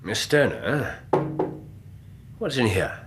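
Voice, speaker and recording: An actor playing an incisive, theatrical Victorian detective with an English accent and precise, formal, dryly authoritative speech in a radio drama. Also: male voice, on the low side, big pitch swings, takes it slowly, over music. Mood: brisk, inquisitive.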